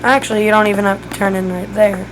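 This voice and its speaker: Hoarse woman with a flat delivery. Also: mid-range voice, fast talker, noisy background. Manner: casual, thinking aloud while building. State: losing her voice. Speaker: gaming tutorial creator. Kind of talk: casual step-by-step game tutorial.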